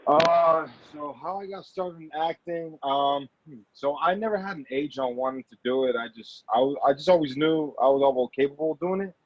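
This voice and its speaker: A man's voice: fairly high pitch, fairly quick, dry recording. A man speaking as a podcast guest in casual interview talk, earnest and hesitant, often repeating his words. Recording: slightly dull, dry lo-fi audio.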